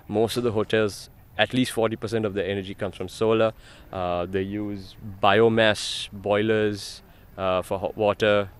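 A man's voice: on the low side, clear, resonant tone. A man with a Sri Lankan accent giving conversational answers as an ecotourism guide company's interview guest.

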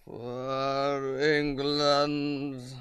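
An actor playing a surly one-legged sailor begging in the street, with a growling, drawn-out bellow. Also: male voice, level tone, a bit bright, dry recording, studio quality. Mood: surly, baying. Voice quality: deep, growling, clear and resonant. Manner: very slow, drawn-out bellowed refrain.

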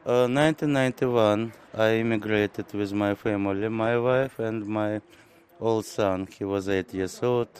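A middle-aged man from Moscow with a Russian accent, answering interview questions in careful, measured phrases. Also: fairly low pitch, steady tone, darkish tone. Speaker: interviewee, draughts player. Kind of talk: casual interview chat.

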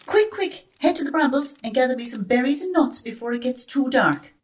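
A woman's voice: high-pitched, animated, fairly quick, recorded from a distance. A woman playing a kindly, firm old grandmother with a homely, emphatic manner in a children's story. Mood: flustered, urgent. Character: kindly, bustling, firm old Irish granny.

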